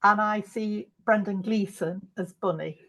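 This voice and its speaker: A woman's voice: medium pitch, fairly even intonation, measured pace, fairly bright tone. A middle-aged woman chatting casually as a reader on a book club video call. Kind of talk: casual chat.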